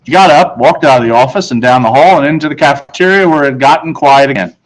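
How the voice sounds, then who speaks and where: A man reads a children's book aloud in an average-pitched voice. He is a teacher and tells the story expressively. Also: fast talker, poor audio.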